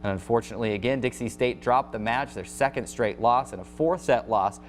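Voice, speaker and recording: An American man hosting a sports show, reading a scripted recap of scores and highlights as an announcer. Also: fairly quick, soft background music.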